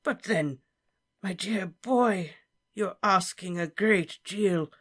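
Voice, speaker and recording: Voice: mid-range voice, a bit bright, husky, voice pushed up. Slughorn, a tipsy old wizard man voicing. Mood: anxious, pleading. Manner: drawn-out, quavering and wheedling.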